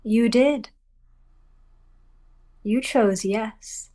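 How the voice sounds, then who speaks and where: Woman acting a curt, high-voiced female boss. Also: extremely slow, dark tone. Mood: cold, firm. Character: cool, commanding female corporate boss. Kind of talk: script table read.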